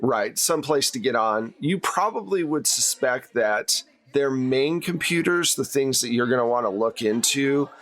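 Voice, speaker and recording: A man's voice: mid-range voice, expressive, normal speed, hardly any pauses, a bit bright. A man narrating an improvised tabletop game as game master in a conversational, explanatory way.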